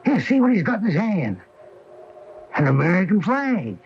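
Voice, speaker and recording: A good-natured small-town handyman, high and swooping in pitch with a slow, excitable delivery. The sound is a muffled 1980 radio drama broadcast with faint hiss. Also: gravelly, heavily acted. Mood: eager.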